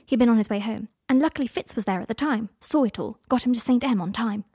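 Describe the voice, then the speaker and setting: A woman reading aloud a letter in the voice of a hurried, excitable young witch who writes in clipped notes. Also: fairly high pitch, breathy edge, dry recording, studio quality. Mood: excited. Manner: rushed, clipped note-taking.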